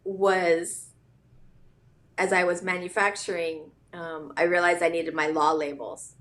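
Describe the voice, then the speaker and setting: Woman, from Hawaii, slightly low pitch, interview guest, many fillers and restarts. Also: steady tone, a little slow, a bit bright. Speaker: business founder, guest. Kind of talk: casual interview talk.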